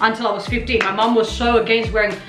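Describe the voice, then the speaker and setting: An outspoken young woman from Sri Lanka, a guest co-host who gives her opinions with force. She talks very fast in a fairly high voice. The room has a clear echo, with music playing in the background. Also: level tone. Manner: outspoken, emphatic, mixes Tamil and English. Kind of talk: casual Q&A chat.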